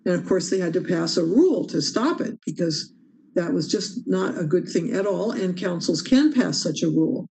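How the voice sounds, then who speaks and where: A woman's voice: on the low side, lively intonation, moderate pace, creaky, mellow. Emphatic woman teaching meeting rules. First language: English. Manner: emphatic, earnest, stresses key rules. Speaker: parliamentary procedure trainer. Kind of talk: presenting a training session on meeting rules. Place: home or office on a video call. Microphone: video call microphone.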